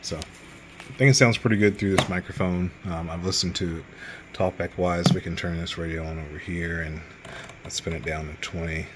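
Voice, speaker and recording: A man's voice: deep voice, steady tone, moderate pace, heavy background noise. A man giving a casual, rambling, unscripted hands-on radio gear review in a matter-of-fact way. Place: radio bench, indoors.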